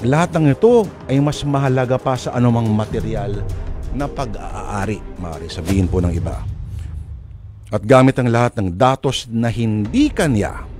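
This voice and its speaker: A male radio host presents a drama in an emphatic, theatrical way. He stretches out pauses between phrases at a middle pitch, with faint music underneath. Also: expressive.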